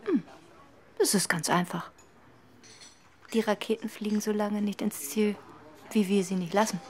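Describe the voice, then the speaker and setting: A woman's voice: mid-range voice, fairly even intonation, measured pace, lightly acted. An actress playing a cool, guarded female scientist in a radio drama, speaking curtly and with assurance. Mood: cool, knowing. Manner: curt, assertive.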